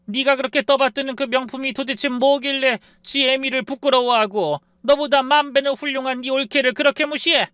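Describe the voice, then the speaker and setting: A fiery older mother tearing into her grown daughter with harsh, loud scolding, as a man puts her on. He pushes his voice high and hard above his normal pitch. The sound is dry and close. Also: smooth, dry recording.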